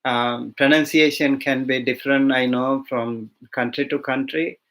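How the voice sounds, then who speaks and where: A man from Sri Lanka with a Sri Lankan accent, a monk teaching an online beginners' language lesson, unhurried with many fillers and restarts. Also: medium pitch, fairly even intonation, fairly bright tone.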